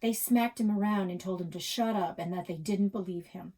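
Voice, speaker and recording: A woman reading a story aloud in an expressive, dramatic storytelling style with emphatic pauses. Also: medium pitch, husky. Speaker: librarian reading a children's novel aloud.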